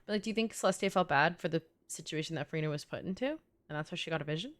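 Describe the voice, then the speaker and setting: A woman's voice: low-pitched, very fast. A woman with a New York accent giving casual livestream game commentary, thinking aloud in loose, chatty phrasing. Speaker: streamer.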